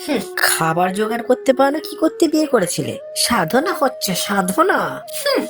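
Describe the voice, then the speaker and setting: A woman's voice: fairly high pitch, sing-song, moderate pace. A woman playing a practical, nagging village wife in a cartoon, fussy and exclaiming. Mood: scolding. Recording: music behind the voice.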